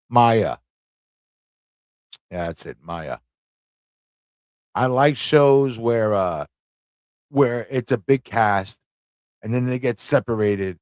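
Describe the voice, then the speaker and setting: A man's voice: mid-range voice, darkish tone, no echo, clean studio sound. A man hosting a livestream fan chat, talking in a rambling, informal way with frequent rhetorical tag questions. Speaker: livestream talk show host.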